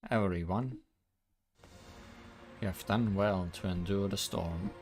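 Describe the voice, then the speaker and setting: A man's voice: deep voice, takes it slowly, darkish tone, faint music behind, pitched below the performer's own voice. A man voicing a commanding woman sage who gives a solemn, oratorical speech to rally her followers, in a fan-dubbed animation.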